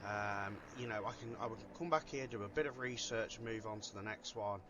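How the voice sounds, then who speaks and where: A man's voice: lowish voice, brisk, highs cut off, noisy background. A man giving casual playthrough commentary as a gaming video host, hesitantly thinking aloud. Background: game music.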